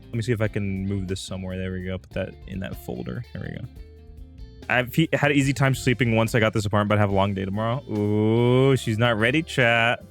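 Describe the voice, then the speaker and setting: A man's voice: on the low side, fast, gravelly, soft background music. A man hosting a live stream with casual chat, rambling and repeating himself as he talks straight to his viewers. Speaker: livestream host.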